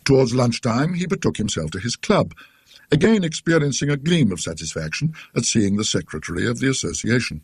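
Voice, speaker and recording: A British man with a husky voice narrating a classic ghost story in a scholarly, dryly witty, deliberate manner. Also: medium pitch, big pitch swings, no echo, clean studio sound.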